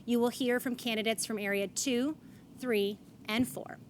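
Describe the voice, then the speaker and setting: A woman's voice: a little high, fairly even intonation, normal speed, a little hiss or hum. A woman, moderating a candidates forum on stage and reading rules and statements aloud. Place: event stage.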